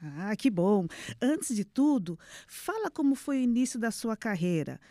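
A woman's voice: fairly high pitch, big pitch swings, measured pace, mellow, no echo, studio quality. A woman hosting an audiovisual podcast, reading a scripted introduction and then interviewing her guest. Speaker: podcast host.

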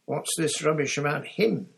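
A man's voice: medium pitch, moderate pace, pitched above the performer's own voice, close to an everyday voice. A man voicing an angry, indignant teenage schoolboy hero with a snapping, emphatic delivery.

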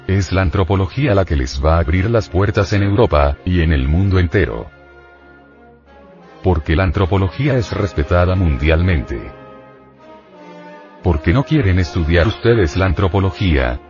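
Very deep-voiced man lecturing, dull lo-fi recording. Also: expressive, moderate pace, a little mellow. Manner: insistent, admonishing, rhetorical questions. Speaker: spiritual teacher lecturing students. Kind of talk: informal lecture to a group of students. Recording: dull lo-fi recording with faint music.

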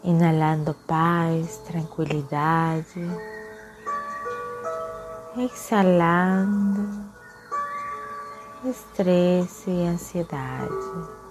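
A woman guides a meditation very slowly, in a fairly low voice. She is soothing and leaves long pauses between phrases. Music plays clearly behind her.

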